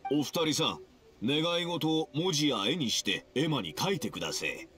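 A man's voice: fairly high pitch, animated, moderate pace, a bit bright, soft background music. A man voicing a friendly festival stall keeper in an anime game, calling out with polite vendor patter. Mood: helpful. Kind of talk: anime video game voice acting.

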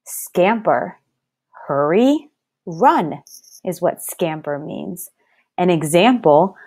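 A woman teacher reading a lesson aloud to young children in a deliberate, instructive way, enunciating each word. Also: on the low side, a little mellow. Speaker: first-grade teacher.

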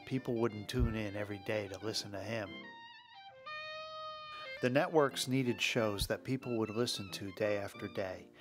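Male narrator over music. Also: mid-range voice. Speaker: podcast host, narrator. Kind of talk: scripted documentary narration.

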